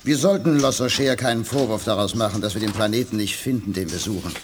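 An actor playing a blunt, sceptical, informal space officer in a 1980s German radio play. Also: male voice, lowish voice, quick pace, noisy background, close to an everyday voice.

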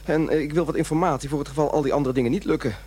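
An actor playing a desperate, self-deluding middle-aged smoker in a radio drama, talking in an earnest, halting, theatrical way. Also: male voice, on the high side, steady tone, mellow, slight background noise, close to an everyday voice.

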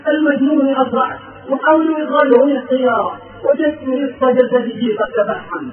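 A man's voice: very high-pitched, steady tone, a little fast, crowd noise. A man delivering an emotional Islamic sermon, moving between storytelling and rhymed exhortation in an impassioned, pleading, dramatic manner. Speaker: Islamic preacher. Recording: echoey, phone-like lo-fi, distant, music behind.

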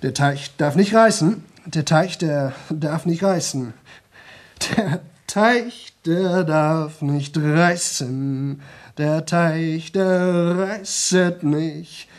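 A man's voice: a little high, extremely slow. An actor playing a young man in a radio drama who talks nonstop for a record, rambling, repeating himself and slipping into singsong. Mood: weary, rambling. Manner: rambling, repetitive, chatty, drifting into singsong. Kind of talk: 1970 German radio play monologue.

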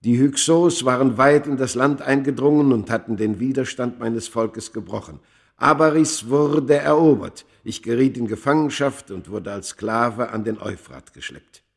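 A male actor playing a tormented, world-weary immortal from ancient Egypt in a radio play, speaking with grave, deliberate, literary delivery. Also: mid-range voice, creaky, natural voice. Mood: weary, resigned. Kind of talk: dramatic first-person radio play narration.

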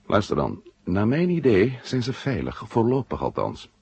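Male journalist, confiding and wry, lowish and very lively, for a vintage sci-fi radio serial. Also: normal speed, creaky, husky, no echo, full character voice. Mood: urgent, confiding. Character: adult male journalist, blunt, wry, worried about his brother. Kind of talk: 1970 Dutch science-fiction radio drama acting.

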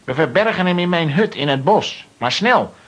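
An actor playing a furtive, bossy vagrant who speaks with secretive urgency in a children's comic-strip radio play. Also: male voice, a little high, lively intonation, normal speed, a bit bright, slight background noise. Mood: urgent.

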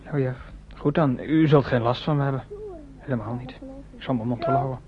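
An actor playing a sullen, bitter young refugee stable lad in a radio drama, speaking curtly and with grim restraint. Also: male voice, medium pitch, steady tone, brisk, a little hiss or hum, lightly acted. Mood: sullen, resolute. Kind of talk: Dutch radio drama acting. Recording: dull, lo-fi old radio recording.

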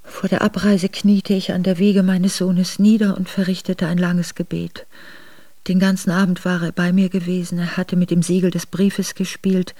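A grieving russian princess reading her memoirs for radio drama, measured, literary, very dry sound. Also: on the low side, gravelly, mellow, dry recording. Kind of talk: first-person memoir narration in a radio drama.

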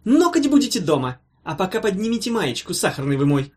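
A man's voice: high voice, sing-song, a little fast, pitched above the performer's own voice. A man voicing a pompous, sly medical professor with falsely soothing, pedantic, tutting patter in an audiobook. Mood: brisk, bossy. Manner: wheedling pedantic patter, tutting, padded with stock phrases.

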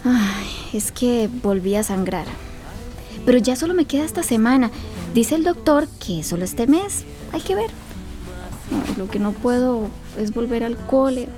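A woman's voice: fairly high pitch, big pitch swings, a little slow, over music. An actress playing an anxious, affectionate pregnant teenage girl with a Costa Rican accent in a radio drama. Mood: worried, confiding.

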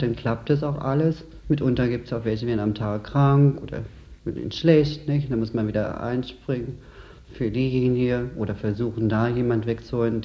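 A man working as a store assistant manager, talking casually and colloquially in an interview, often tagging statements with a questioning word. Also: medium pitch. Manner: colloquial, tags statements with "nicht?". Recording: slightly dull lo-fi interview tape.

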